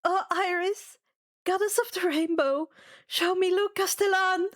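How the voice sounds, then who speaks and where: Woman voicing a high, distraught, loyal young girl. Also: steady tone, measured pace. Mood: desperate. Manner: stammering, pleading.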